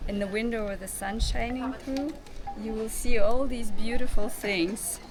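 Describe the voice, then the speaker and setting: A woman co-hosting a travel vlog, chatting informally and thinking out loud while browsing outside small shops. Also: on the high side, steady tone, unhurried, rough recording.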